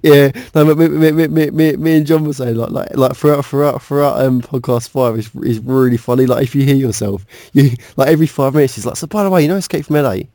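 Mellow man, close dry sound. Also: mid-range voice, fast talker, few pauses, no room sound. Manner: teasing, repeats words as he interrupts. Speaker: podcast co-host. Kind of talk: casual banter.

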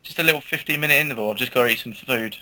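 A man's voice: mid-range voice, rapid-fire, bright, forceful tone, a little hiss or hum, poor audio. A British man chatting casually as a podcast co-host. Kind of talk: casual chat.

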